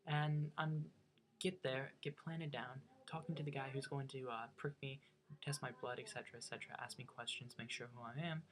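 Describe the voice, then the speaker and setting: A young man with a faint Norwegian accent tells a personal story casually. He talks in a chatty, self-mocking way and often stops to restart his sentences. His voice sits at an ordinary pitch for a man. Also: steady tone, fairly quick, crowd noise, low-quality recording. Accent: slight Norwegian. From United States. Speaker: vlogger.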